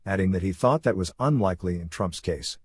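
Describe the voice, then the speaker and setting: A man reading a scripted news report in a formal, measured manner. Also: deep voice, husky, dry recording, studio quality. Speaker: news reader.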